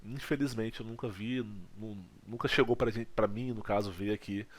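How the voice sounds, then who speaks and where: A man's voice: lowish voice, moderate pace. A man from Brazil teaching a physics video lesson, checking in with his students often. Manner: lively teacher, checks in with "legal?" and "tá?".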